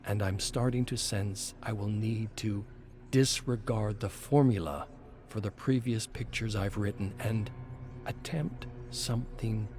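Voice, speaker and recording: An actor as slow, brooding male screenwriter. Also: lowish voice, a little mellow, close to an everyday voice. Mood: brooding, bewildered. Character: brooding male screenwriter musing over his haunted journal. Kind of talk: scripted dramatic journal narration. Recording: music behind the voice. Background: music.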